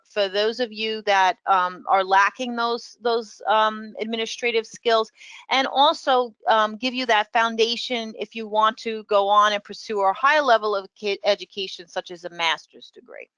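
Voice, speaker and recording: A middle-aged professor with a New York accent speaks in a bright, forceful tone. She explains a program over slides in a webinar, filling pauses with ums. The recording is dry and close. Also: fairly high pitch, steady tone, clean tone, dry recording. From New York City. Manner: explanatory, filler-heavy. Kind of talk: webinar presentation.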